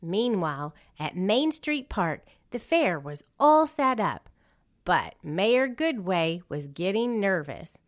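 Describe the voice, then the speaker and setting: A woman narrates a children's read-along story. Her delivery is deliberate and unhurried, with very lively, sweeping intonation that marks each phrase for young listeners. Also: mid-range voice.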